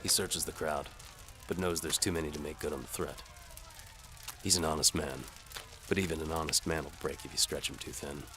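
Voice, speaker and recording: A man playing a sardonic, world-weary medieval bow for hire, narrating in a wry deadpan as scripted audio drama. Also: rumbling low, brisk, slight background noise. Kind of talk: first-person scripted narration, hard-boiled audio drama.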